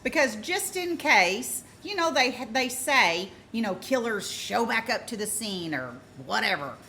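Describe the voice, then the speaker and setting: A woman with a fairly high, bright and forceful voice talks through a true-crime case informally. She is emphatic and deliberate, circling back to stress her points. Some noise sits behind her voice. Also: sing-song.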